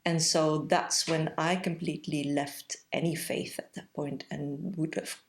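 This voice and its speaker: A woman in her forties with a Belgian accent, a podcast guest speaking reflectively and pausing often. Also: low-pitched, fairly even intonation, slight background noise. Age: 40s. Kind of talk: casual interview conversation.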